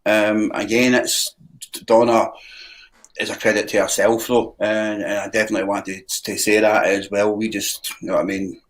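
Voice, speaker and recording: A man's voice: lowish voice, level tone, moderate pace, poor audio. A man from a community radio station chatting casually and praising a colleague, often adding "you know what I mean". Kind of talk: casual chat.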